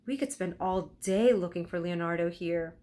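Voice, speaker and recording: A woman's voice: on the low side, normal speed, mellow, close to an everyday voice. A woman voicing a worried, practical young boy adventurer in a storybook, anxious and full of exclamation. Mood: doubtful.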